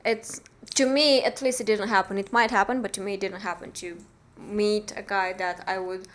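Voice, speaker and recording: A woman's voice: medium pitch, steady tone, moderate pace, a bit bright. A young woman from Romania, a native Romanian speaker, chatting as a podcast guest in a small studio, talking thoughtfully with many fillers. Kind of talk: casual interview chat. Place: small bright studio room. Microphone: studio mic on arm.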